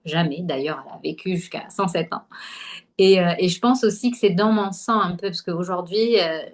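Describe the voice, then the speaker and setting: A woman from Algeria telling her story on a podcast in a slightly low voice. She speaks casually and hesitantly, with many fillers and restarts. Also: moderate pace. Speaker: podcast guest, holistic nutrition coach. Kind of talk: casual conversational interview.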